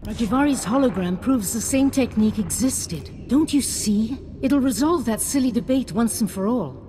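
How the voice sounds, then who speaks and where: A woman's voice: fairly high pitch, expressive, normal speed, dark tone, a little hiss or hum, lightly acted. A woman playing a scholarly, single-minded Jedi master in a video game, earnest and instructive. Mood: eager. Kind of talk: video game dialogue acting.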